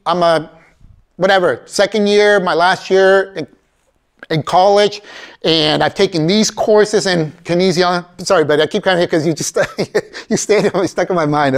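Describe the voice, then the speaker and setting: Animated man speaking in a lecture hall. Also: high-pitched, clear, resonant tone. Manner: animated, addresses audience as folks, acts out quoted voices. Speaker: keynote speaker. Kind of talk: motivational career talk. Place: college lecture hall, large, indoors. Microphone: far camera in audience.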